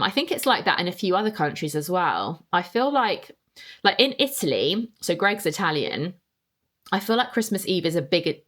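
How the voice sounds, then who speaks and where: A woman's voice: on the low side, a bit bright. A young woman hosting a casual solo podcast, chatting in a rambling way and reading out listener answers. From England. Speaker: podcast host.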